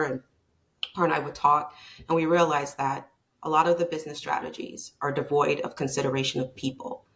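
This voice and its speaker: A woman's voice: very deep voice, a bit bright. A woman from Barbados, a leadership consultant, talking as a guest in a conversational podcast interview, reflective and emphatic.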